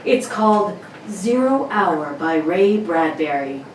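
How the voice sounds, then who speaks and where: A woman's voice: fairly low pitch, animated, slow pace. A woman announcer reading dramatic radio-play narration with theatrical, emphatic phrasing. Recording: distant roomy mic with faint noise.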